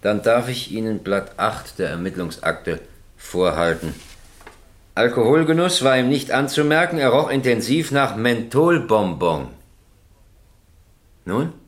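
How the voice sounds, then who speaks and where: A man's voice: medium pitch, lively intonation, measured pace, natural voice. An actor playing a stern presiding judge in a German radio crime drama, formal and probing in his questioning.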